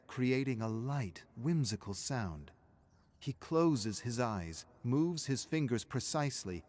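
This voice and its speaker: A man reading dramatic scripted narration of a dark story in a measured, grave manner. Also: fairly low pitch.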